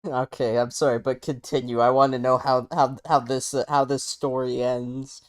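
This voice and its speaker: A man's voice: medium pitch, level tone, normal speed, smooth. Joking young man in his twenties. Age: 20s. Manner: joking, teasing, many fillers. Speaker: podcast host. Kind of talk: casual chat.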